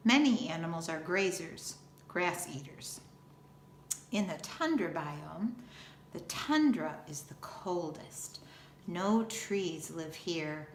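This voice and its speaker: A woman in her fifties, a teacher reading a children's picture book aloud in an expressive, deliberate way. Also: fairly low pitch. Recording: roomy echo, faint background noise.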